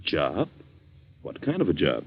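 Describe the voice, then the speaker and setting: A man's voice: very deep voice, huge pitch swings, unhurried, creaky, breathy edge, full character voice. An actor playing a stern, guarded middle-aged father with a brooding manner, in a 1940s radio drama. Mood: curt, guarded. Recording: muffled 1940s radio broadcast.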